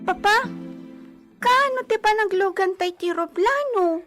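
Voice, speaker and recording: A woman's voice: very high voice, animated, fairly bright tone, faint music behind, full character voice. A woman playing an eager, curious little girl with a childlike way of talking in an audio drama. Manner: childlike, simple questioning, counts slowly. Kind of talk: Filipino audio drama acting.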